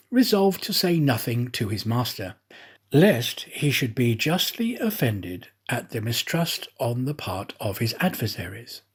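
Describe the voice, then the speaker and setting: A man reading a classic novel aloud as an audiobook narrator, with theatrical, measured phrasing. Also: mid-range voice, slightly rough.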